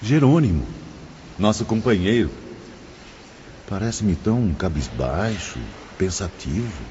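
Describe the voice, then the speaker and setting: A man's voice: on the low side, lively intonation, a little slow, warm tone. A man playing a grave, observant spirit companion in a formal audio drama. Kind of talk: dramatised audio-book dialogue. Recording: dull lo-fi audio, faint ambient noise.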